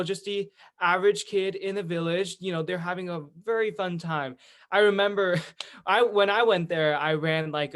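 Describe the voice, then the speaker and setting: A man's voice: a little high, a bit bright, smooth. A teenage male student, giving an informal online presentation in a chatty, enthusiastic storytelling way. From California, United States. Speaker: student presenter, education executive of a youth charity.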